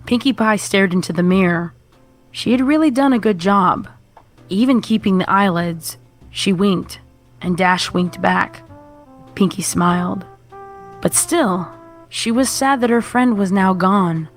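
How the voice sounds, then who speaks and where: A woman's voice: medium pitch, measured pace, faint music behind. A woman narrator reading a scripted horror story.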